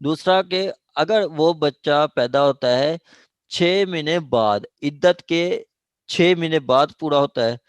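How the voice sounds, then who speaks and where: Male Pakistani law lecturer, measured and unhurried, explanatory classroom delivery, close dry sound. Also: on the high side, clean tone, no echo. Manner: explanatory, code-switching between Urdu and English, with frequent check phrases. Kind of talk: classroom lecture, reading statute aloud and explaining it.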